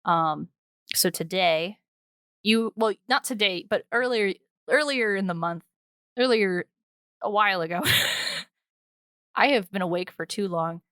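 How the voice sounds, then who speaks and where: A woman hosts a podcast in a loose, conversational style. Her voice has a middle pitch and is recorded close and dry. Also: slow pace, long pauses, sharp tone, clean tone, no echo. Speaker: podcast host. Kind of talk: casual chat.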